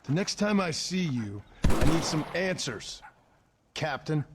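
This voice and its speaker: Wry American gunslinger, lively intonation. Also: male voice, a little high, a little slow, noisy background. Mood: impatient. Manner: terse, dry, sardonic. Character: gruff, wry American gunslinger, a wary outlaw. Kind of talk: video game voice acting. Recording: music behind the voice.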